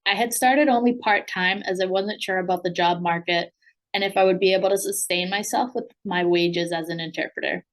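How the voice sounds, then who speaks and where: An American woman, a program alumna and language coach, reading a prepared speech in an earnest, steady way. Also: fairly low pitch, brisk. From United States.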